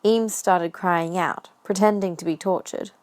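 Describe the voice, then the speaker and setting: A woman's voice: fairly low pitch, fairly even intonation, a little slow, darkish tone. A woman reading scripted story narration for an audio drama as the narrator.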